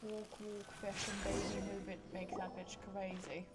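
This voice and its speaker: A woman's voice: medium pitch, little pitch movement, moderate pace, very noisy. A woman casually commenting on her game playthrough in a playful, giggly manner. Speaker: streamer. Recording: game music behind the voice. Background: game music.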